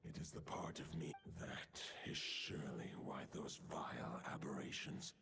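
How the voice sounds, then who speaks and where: Ancient, deep, gravelly wolf spirit. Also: male voice, whispered. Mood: grave, solemn. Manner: grave, archaic, measured speech. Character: ancient solemn great wolf spirit, a fading guardian. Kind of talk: fantasy game voice acting.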